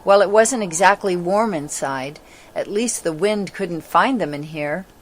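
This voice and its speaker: Low-pitched female storybook narrator. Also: lively intonation, measured pace, slight background noise. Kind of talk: scripted dramatic story narration.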